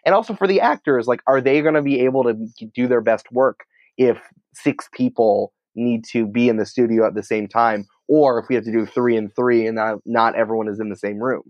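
A man's voice: mid-range voice, fairly quick, no echo, highs cut off. An American man answering interview questions in an informal, emphatic, conversational way, peppering his speech with "like". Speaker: podcast producer and writer, interview guest.

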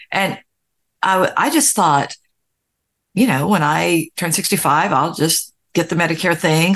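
A woman's voice: on the low side, fairly bright tone. An American woman in her sixties hosting a podcast interview, chatting casually and telling personal stories. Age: 60s. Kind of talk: casual chat, personal storytelling.

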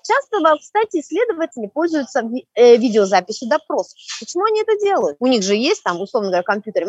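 A woman with a fairly high, husky voice talks very quickly in a podcast discussion. She explains emphatically and states her opinions firmly. The recording is dry and slightly muffled. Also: dry recording.

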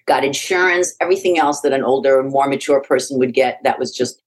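A woman's voice: extremely low pitch, a little fast. A middle-aged woman from Iraq, a podcast guest telling her life story in a frank, reflective way with frequent restarts. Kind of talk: conversational interview.

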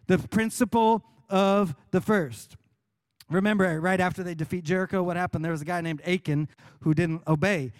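Pastor guy preaching, higher voice, super smooth and dry. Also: dry recording. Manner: earnest, explanatory preaching. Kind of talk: sermon. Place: church.

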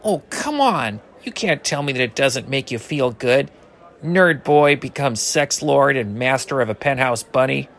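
A man's voice: mid-range voice, expressive, unhurried, a bit bright. A man playing an eager, bashful but brash ninth-grade boy in an audio drama. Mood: teasing.

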